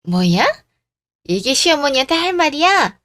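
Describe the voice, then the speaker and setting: A woman's voice: high voice, big pitch swings, normal speed, fairly bright tone, dry recording, clean studio sound, pitched above the performer's own voice. Woman acting brazen, indignant middle-aged mother-in-law. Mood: outraged, scornful. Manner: blustering, scolding, outraged. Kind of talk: radio drama story acting.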